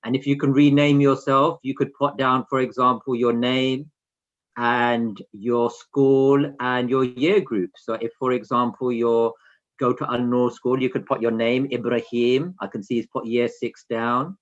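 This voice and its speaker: A man's voice: medium pitch, level tone, unhurried. A man hosting an online children's session in an informal way, talking directly to attendees with instructions and questions over a video call. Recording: slightly dull lo-fi video call audio.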